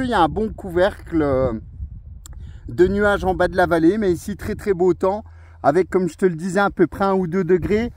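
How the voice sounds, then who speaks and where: High-voiced man chatting on radio. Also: a little hiss or hum. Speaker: amateur radio operator. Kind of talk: casual radio contact chat.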